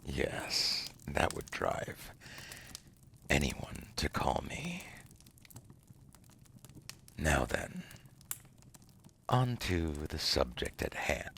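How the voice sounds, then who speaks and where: Man acting an extremely deep, gravelly seductive demon. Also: a bit bright, slight background noise, heavily acted. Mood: seductive, tempting. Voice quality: gravelly, breathy half-whisper. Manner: slow, smug, silky, theatrical. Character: seductive, condescending male demon dealmaker, suave otherworldly banker. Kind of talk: ASMR roleplay monologue.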